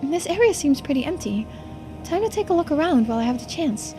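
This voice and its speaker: Woman voicing an anxious high-pitched young game heroine. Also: very animated, a little fast, over music. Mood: uneasy, pondering. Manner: talks to herself, emotional and self-deprecating. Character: anxious, out-of-shape young woman, guilt-stricken and self-doubting. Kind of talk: dramatic voiced reading of visual novel game dialogue.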